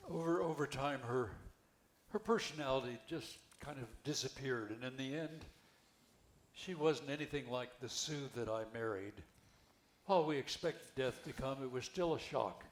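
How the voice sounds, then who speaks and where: An older man reading the part of a wry, skeptical widowed retirement-home resident in an amateur play, halting and repeating words. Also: medium pitch, close to an everyday voice. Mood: somber. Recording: echoey room, background noise.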